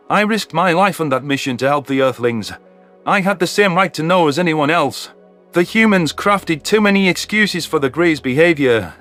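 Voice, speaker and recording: A man's voice: on the high side, fairly bright tone. A man voicing a bitter, hateful alien veteran in a dramatic, vehement telling of a sci-fi story.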